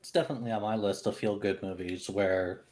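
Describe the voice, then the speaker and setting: A man's voice: on the low side, steady tone, a little fast, a little mellow. A man speaking casually as part of a podcast panel. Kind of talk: casual discussion.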